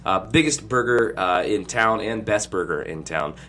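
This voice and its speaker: Hoarse young man hosting a podcast. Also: fairly low pitch, lively intonation, normal speed, sharp tone. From Ohio, USA. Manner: rambling, jokey, lots of fillers. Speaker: podcast host. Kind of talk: casual podcast chat.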